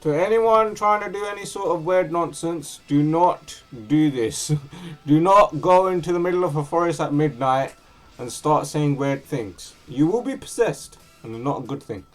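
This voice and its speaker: A man's voice: a little high, moderate pace. A man chatting informally and casually as he comments on a game he is streaming. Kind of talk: casual gameplay commentary and chat. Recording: faint game music behind. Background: faint game music.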